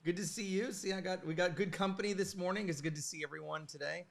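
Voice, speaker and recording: A man hosting an online art event with a slightly hoarse voice from the flu, chatting casually and rambling, with lots of fillers and jokes at his own expense. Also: sits high, steady tone, fast talker, a bit bright. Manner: rambling, filler-heavy and self-joking. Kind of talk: casual livestream chat. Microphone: streaming mic.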